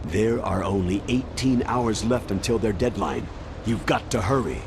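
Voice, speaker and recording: An older male colonel gives orders in a low, commanding voice. The delivery is measured and military, as in a video game drama, with clear noise behind it. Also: animated. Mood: urgent, grave.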